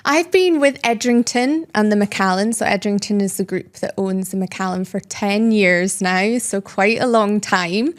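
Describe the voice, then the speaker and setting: Scottish woman in her thirties chatting on a podcast, warm and enthusiastic about her subject. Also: mid-range voice, moderate pace, few pauses. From Scotland, Speyside. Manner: enthusiastic, descriptive storyteller. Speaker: brand education guest. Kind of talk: casual podcast interview.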